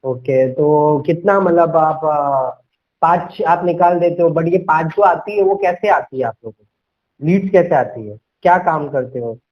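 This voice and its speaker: Young man, high-pitched voice, persuasive phone sales coach who mixes in English words, on a muffled low-fidelity recording. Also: a little slow. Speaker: sales coach making a sales call. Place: at home. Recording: dull lo-fi call recording.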